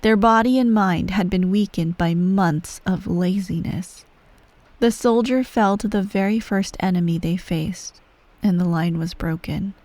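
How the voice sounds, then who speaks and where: A woman playing the cold, manipulative ruler of an evil empire and stern parent in an ASMR bedtime roleplay, speaking in a measured, condescending way that often softens to a near-whisper. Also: mid-range voice, no echo, lightly acted. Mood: grave, ominous. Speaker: voice actor in a roleplay audio. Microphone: close microphone.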